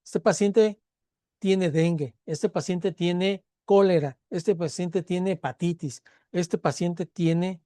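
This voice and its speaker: Mexican man lecturing, dark dry voice. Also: sits high, no echo. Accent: Mexican Spanish. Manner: conversational, acts out patient dialogues, frequent fillers and tag questions. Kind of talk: university lecture.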